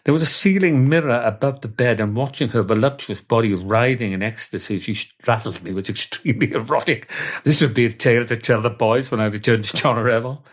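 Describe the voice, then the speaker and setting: Deadpan Welsh man telling a story. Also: medium pitch, fairly quick, hardly any pauses. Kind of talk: comic first-person storytelling.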